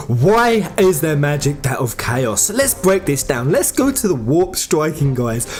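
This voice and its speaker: Animated man in fan commentary over music. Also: a little high. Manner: emphatic, addresses viewers directly. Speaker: host of a video game lore channel. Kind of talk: informal fan theory commentary.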